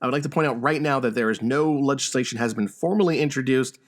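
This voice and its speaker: A man's voice: medium pitch, fast. Man hosting a casual gaming podcast. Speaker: podcast host. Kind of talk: casual gaming podcast talk and news rundown.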